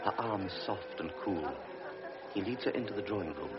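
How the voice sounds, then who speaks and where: A man narrating a literary radio drama in a deliberate, solemn manner. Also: sits low, lively intonation. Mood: measured. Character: measured storyteller of a pre-war tale. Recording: dull vintage radio recording with music.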